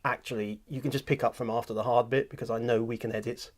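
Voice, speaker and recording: A British man hosts a relaxed one-on-one chat about audiobook work. He talks in a mid-range voice and acts out quick voices and scenes to make his points. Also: medium pitch. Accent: British English. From England. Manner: animated, acts out little scenes. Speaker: host, audiobook producer. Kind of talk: casual interview chat.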